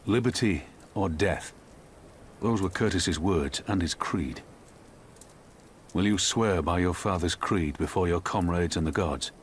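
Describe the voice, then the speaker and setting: Deep, solemn rebel commander. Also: male voice, unhurried, a little hiss or hum. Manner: formal, archaic diction. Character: solemn, formal male resistance commander. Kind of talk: video game cutscene voice acting.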